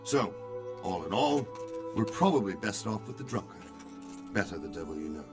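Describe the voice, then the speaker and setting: A man's voice: on the low side, very animated, normal speed. An actor playing a plain-spoken, opinionated male advisor with a wry manner in a video game cutscene. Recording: music behind the voice.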